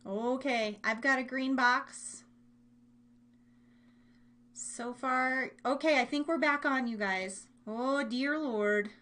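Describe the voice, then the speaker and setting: A woman's voice: high voice, takes it slowly, long pauses, bright, forceful tone. An American woman casually hosting a live online show, rambling along in a bubbly, informal way with her listeners. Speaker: host of a live online radio show and podcast.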